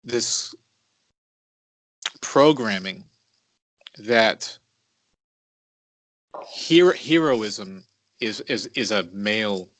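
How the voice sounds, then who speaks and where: A man in his mid-thirties talking as a podcast co-host in a reflective, confessional way, halting and deliberate, repeating words for emphasis. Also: fairly low pitch, fairly bright tone, no echo, rough recording.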